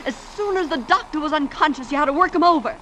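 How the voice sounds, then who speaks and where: An actress playing a cold, scheming young femme fatale with a sharp, scornful, theatrical delivery in a vintage radio drama. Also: female voice, high-pitched, lively intonation, quick pace. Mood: scornful, furious. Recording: noisy old radio drama recording.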